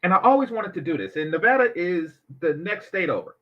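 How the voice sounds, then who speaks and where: A man hosting a reaction commentary, talking in an animated, sarcastic way with mocking asides. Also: sits high, brisk, highs cut off. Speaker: commentary channel host.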